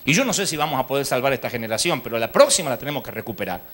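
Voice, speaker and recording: A man with an Argentine accent preaching a sermon at a church congress, telling lively stories and mimicking the people in them. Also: mid-range voice, racing. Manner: lively storytelling, mimics people in his anecdotes; rises to loud exhortation.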